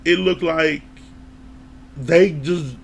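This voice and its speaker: Animated man recapping a reality show. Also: fairly high pitch, drawn-out words, vocal fry, slight background noise. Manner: animated, laughs, acts out quoted lines, swears. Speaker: reality TV reviewer. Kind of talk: casual recap commentary.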